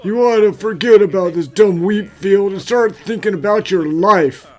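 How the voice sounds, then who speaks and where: A man's voice: high voice, moderate pace, dark tone. A man playing a haughty, self-important rich landowner villain in a game fan dub, pompous and condescending. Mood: bullying. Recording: music behind the voice.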